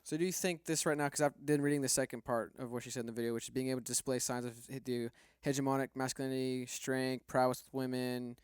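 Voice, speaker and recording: A man's voice: mid-range voice, steady tone, fast talker, clear, resonant tone, no echo, clean studio sound. A man debating casually as a podcast host, questioning and arguing his points. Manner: questioning, argumentative.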